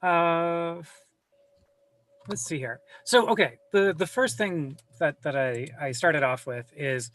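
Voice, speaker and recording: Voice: medium pitch, expressive, slow pace, a bit bright. A guest on a video call chatting casually, rambling and self-deprecating, with casual swearing, recorded at home. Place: home room with a server rack.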